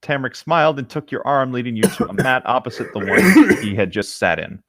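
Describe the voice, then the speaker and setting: A man's voice: mid-range voice, very animated, a little fast, fairly bright tone, dry recording, studio quality. A man reading fan fiction aloud as a co-host, slipping into casual banter between passages.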